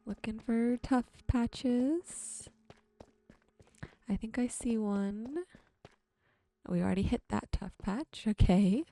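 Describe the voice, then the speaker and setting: A woman giving ASMR-style gameplay commentary, musing to herself in an unhurried way. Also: a little high, vocal fry, mellow. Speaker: game streamer hosting a relaxation video.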